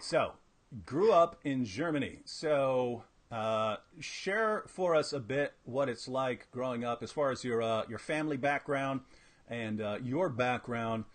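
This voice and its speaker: A man hosting a casual, jokey interview, asking questions in a halting way with restarts. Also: mid-range voice. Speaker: interviewer, channel host.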